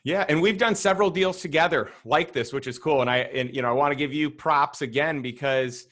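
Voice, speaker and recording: A male podcast host with a raspy, worn-out voice, chatting enthusiastically and rambling a little. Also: a little high, fairly quick, fairly bright tone. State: raspy, tired voice. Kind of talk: casual interview chat.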